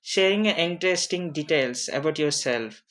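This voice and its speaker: A non-native English speaker teaching an informal lesson on introductions, speaking haltingly, repeating phrases and often checking in with a questioning tag. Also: medium pitch, lively intonation, a bit bright. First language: not English. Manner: halting, repetitive, frequently checking with "okay?". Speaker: online teacher of interview English. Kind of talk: informal spoken lesson with examples.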